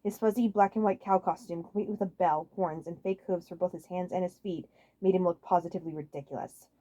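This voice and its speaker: A woman's voice: on the low side, steady tone, fairly quick, dark tone, dull audio. A woman reading fan fiction aloud as a scripted story narrator on a home microphone.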